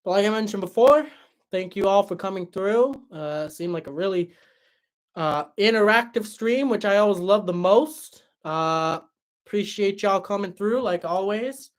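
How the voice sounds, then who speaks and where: A man's voice: high voice, normal speed. Chatty young man, tired voice. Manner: relaxed, chatty, many fillers and restarts. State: voice tired after a long stream. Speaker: livestream host reading chat. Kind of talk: casual livestream chat.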